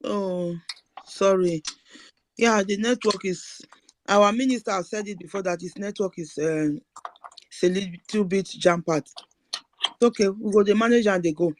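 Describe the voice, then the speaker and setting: A man's voice: sits high, moderate pace, long pauses, slight background noise. A man hosting an online audio discussion, handing the mic to speakers in a brief, informal way. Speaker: host.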